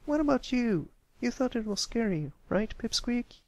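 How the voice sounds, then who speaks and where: A man's voice: extremely high pitch, sing-song, normal speed, pitched above the performer's own voice, full character voice. A man voicing a brash, boastful young tomboy pony filly in a storybook reading, talking defensively. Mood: indignant. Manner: loud, defensive, bragging.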